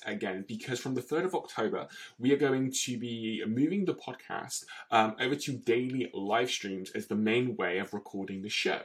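Conversational male podcast host. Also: lowish voice.

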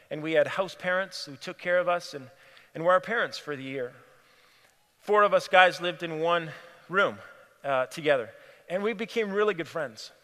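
A man's voice: on the high side, sharp tone. A man from Cameroon preaching a sermon in an earnest way, repeating phrases for emphasis. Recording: long hall reverb.

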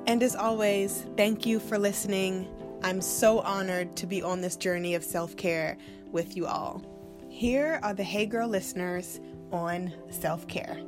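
A woman's voice: mid-range voice, steady tone, a little slow. Podcast host woman over music. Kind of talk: scripted podcast intro. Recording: music bed underneath.

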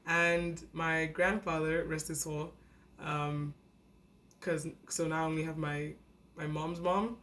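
A woman's voice: low voice, takes it slowly. Young woman from south africa rambling through a story, lots of "like" and fillers, faint hum behind. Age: young adult. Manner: animated, acts out her younger self. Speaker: guest. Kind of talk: casual storytelling.

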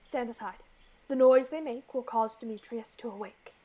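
A woman's voice: on the high side, normal speed, warm tone, lightly acted. A woman playing a commanding, spell-casting king of the fairies in a Shakespearean radio play, speaking verse in a stately, declamatory way. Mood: stern, commanding.

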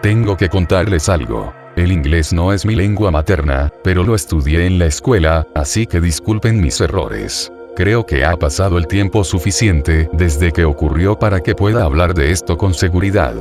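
Male horror narrator, low voice, scripted first-person storytelling with clear background music. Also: expressive, normal speed, hardly any pauses. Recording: music bed under the voice.

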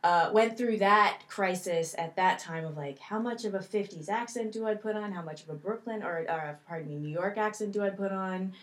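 A woman's voice: medium pitch, moderate pace, hardly any pauses. A woman being interviewed as a guest in a studio, telling stories in a chatty, light, self-deprecating way. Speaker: actress guest. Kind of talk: casual interview chat. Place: recording studio.

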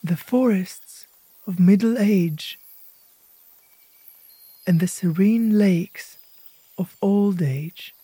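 A woman narrating a guided imagery meditation as host, speaking gently in short, hushed phrases with pauses. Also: mid-range voice, breathy edge, no room sound.

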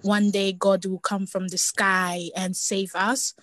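A woman sharing an informal opinion in an online discussion, in a halting way. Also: medium pitch, level tone, a bit bright, no echo. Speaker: participant in a group discussion.

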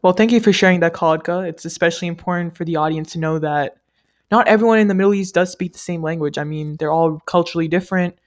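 Polite woman interviewer from the US. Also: on the low side. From United States. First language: Malayalam. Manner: polite and measured. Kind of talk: scripted-sounding interview questions.

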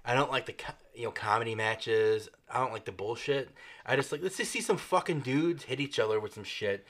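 Podcast co-host, man, mid pitch, bright voice, emphatic and profane. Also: a little fast. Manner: emphatic, swearing, says "dude" a lot. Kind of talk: casual chat.

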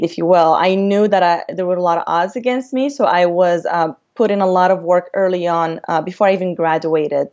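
Moroccan-born creative director woman, low-ish voice, lots of uhs and ums, dull lo-fi sound. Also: darkish tone. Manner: frequent "uh" and "um" fillers, earnest. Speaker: creative director, podcast guest. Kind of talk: interview storytelling.

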